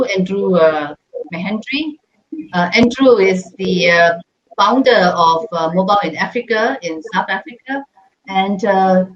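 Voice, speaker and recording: A woman opens a session at a virtual summit, speaking haltingly and filling pauses with "uh" and "you know." Her voice sits at a middle pitch and comes over a dull, slightly muffled line with a faint hiss behind it. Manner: halting, many fillers. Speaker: event host. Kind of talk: introducing a session.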